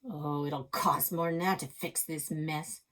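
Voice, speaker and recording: A man's voice: on the high side, fairly even intonation, a little slow, pushed and bright, voice pushed down. A woman voicing a cranky, blunt old grandfather in a storybook read-aloud, with folksy sarcasm. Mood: cranky, gruff.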